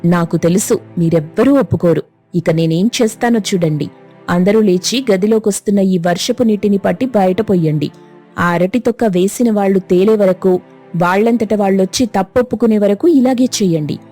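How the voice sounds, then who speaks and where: A woman's voice: medium pitch, moderate pace, dark tone, faint music behind, lightly acted. A woman voicing a strict, vindictive middle-aged schoolteacher who scolds and accuses her students. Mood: threatening. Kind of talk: cartoon voice acting.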